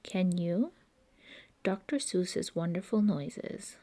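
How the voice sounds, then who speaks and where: Female children's storyteller: slow delivery, warm relaxed timbre, playful and expressive with noise words. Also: on the low side. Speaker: children's book reader. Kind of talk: read-aloud storytelling for young children.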